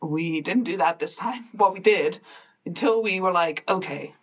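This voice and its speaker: A woman's voice: on the low side, normal speed. A woman of thirty-five from Switzerland, co-hosting a podcast and chatting casually and informally about her life, often breaking into giggles. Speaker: podcast host. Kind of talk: casual chat, sharing personal stories.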